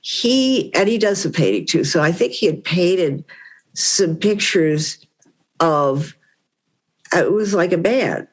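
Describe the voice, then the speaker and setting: A woman's voice: on the low side, lively intonation, slow, breathy edge. An older woman, a guest on an interview podcast, telling fond stories in a chatty, rambling way. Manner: chatty, fond storytelling with many "you know"s. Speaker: guest, widow of a puppeteer. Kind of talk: casual reminiscing conversation.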